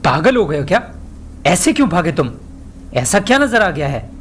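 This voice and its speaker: A man's voice: high voice, big pitch swings, moderate pace, slight background noise. A man playing a wary, questioning young university student in an audio drama. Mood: alarmed.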